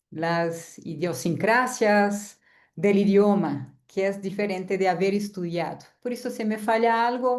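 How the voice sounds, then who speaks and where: A woman's voice: medium pitch, a little mellow. Woman lecturing online. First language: Portuguese. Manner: chatty, often adds a tag "¿no?". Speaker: guest lecturer. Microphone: video call.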